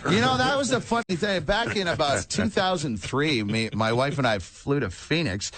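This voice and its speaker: A man's voice: fairly high pitch, expressive, moderate pace, fairly bright tone, dry recording. A man co-hosting a radio show, chatting casually and telling anecdotes on a close studio mic.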